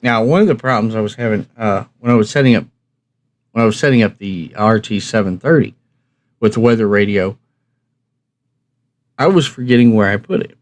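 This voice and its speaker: Low-pitched man, casual, folksy explainer. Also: brisk, pauses often. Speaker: host of a radio review channel. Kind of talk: casual instructional chat to viewers.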